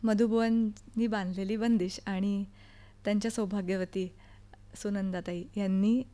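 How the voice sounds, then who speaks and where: A woman's voice: medium pitch, fairly even intonation, unhurried, mellow, soft background music. A woman, a classical vocalist speaking as an interview guest in a studio. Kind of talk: conversational interview. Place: recording studio.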